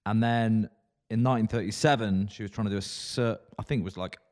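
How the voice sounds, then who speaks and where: Jokey man, low voice. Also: steady tone, a little mellow. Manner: jokey, rambling, quick to laugh. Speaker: podcast co-host. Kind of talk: casual podcast chat.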